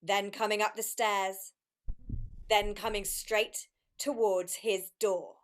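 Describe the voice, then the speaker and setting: Woman storyteller with medium pitch. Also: level tone, takes it slowly. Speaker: narrator. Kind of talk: scripted storytelling, radio-play reading.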